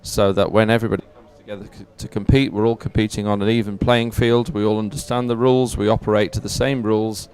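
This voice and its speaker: A man's voice: lowish voice, little pitch movement, quick pace, few pauses, darkish tone, smooth. A man giving live, unscripted sports commentary in a chatty, hesitant, explanatory way.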